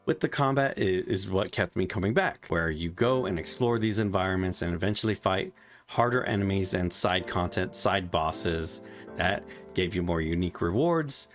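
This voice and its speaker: A man's voice: low voice, lively intonation, normal speed, faint music behind. A man giving a casual, ranting video game review, swearing often and restarting his sentences.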